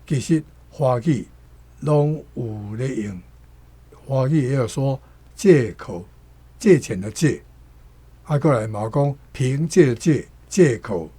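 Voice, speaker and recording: A man's voice: mid-range voice, expressive, slow, long pauses, warm tone, slightly rough. A man with a Taiwanese accent explaining things in unscripted discussion, repeating words as he works through a point.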